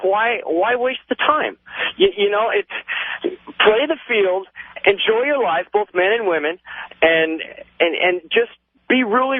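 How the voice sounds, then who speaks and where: An American man in his thirties calling a radio show, giving a rambling, earnest opinion in a halting way with repeated words. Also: high voice, no echo. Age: 30s. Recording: muffled phone line on radio, dry.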